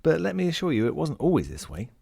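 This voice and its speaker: A man's voice: on the high side, huge pitch swings, fast talker, vocal fry, darkish tone, breathy edge, voice pushed up, full character voice. A man voicing a lonely, wry lost ring as he reads a first-person short story in a dramatic, melancholic way. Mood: indignant, wry. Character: lonely, world-weary, wry sentient ring lost at sea.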